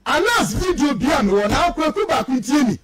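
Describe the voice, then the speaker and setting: High-pitched, animated Ghanaian man, emphatic. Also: fairly quick, a loud crowd. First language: Twi. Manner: emphatic, accusatory, declamatory. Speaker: radio commentator. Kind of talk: political talk radio commentary, mixing local language and English.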